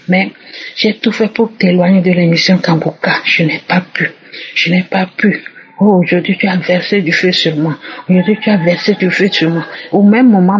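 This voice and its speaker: A woman's voice: on the low side, slight background noise. A woman giving an emphatic personal testimony, repeating her phrases, on a muffled recording.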